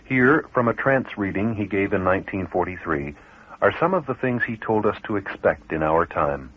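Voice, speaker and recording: Husky low male radio narrator, expressively inflected. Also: animated. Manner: measured, formal. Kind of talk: scripted documentary narration. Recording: tinny, band-limited radio recording.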